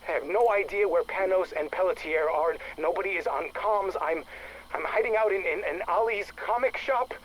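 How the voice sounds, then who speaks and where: An actor playing an anxious, bitter project specialist leaving a recorded testimony in a game, halting and stammering in agitation. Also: male voice, high-pitched, close to an everyday voice. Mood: shaken, despairing. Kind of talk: recorded audio log monologue. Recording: noisy audio log tape.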